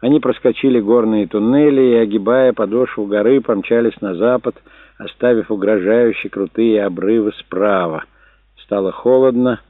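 A man's voice: lowish voice, normal speed, dry recording. A man reading a novel aloud as a dramatic, scripted audiobook narration. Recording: dull narrow phone-like sound.

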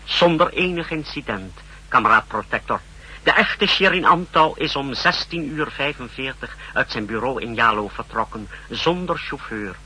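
A man's voice: medium pitch, lively intonation, moderate pace, bright, forceful tone, slightly rough, exaggerated voice. An actor playing a zealous secret service subordinate, reporting formally and deferentially to his chief in a 1960s radio drama. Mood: brisk, formal. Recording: faintly noisy 1960s radio recording.

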